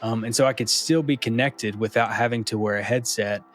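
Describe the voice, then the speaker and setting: A man's voice: lowish voice, fairly even intonation, fairly quick. A man giving a casual testimonial, explaining things in a matter-of-fact way. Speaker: church communications director.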